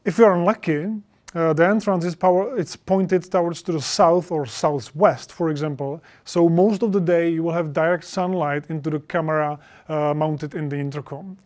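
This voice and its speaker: A man in his thirties gives a product presentation into a lapel mic. His voice sits a little high for a man. He explains point by point, with non-native English phrasing. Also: steady tone, normal speed, a little mellow. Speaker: product presenter for an intercom maker. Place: indoor studio stage with projection screen.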